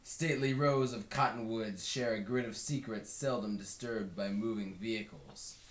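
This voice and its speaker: A man reads a book aloud as narrator. His voice sits on the low side. The home recording is roomy, with clear echo around the words. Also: normal speed. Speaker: read-along narrator.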